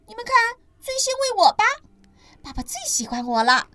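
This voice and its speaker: Woman voicing boastful baby ankylosaur, high, bright, childish and smug. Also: big pitch swings, moderate pace, dry recording, full character voice.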